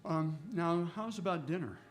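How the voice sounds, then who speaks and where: Friendly, halting police inspector played by a man. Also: a little high, lively intonation, over music, natural voice. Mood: awkward, bashful. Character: friendly, hesitant male police homicide inspector. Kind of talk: old-time radio detective acting.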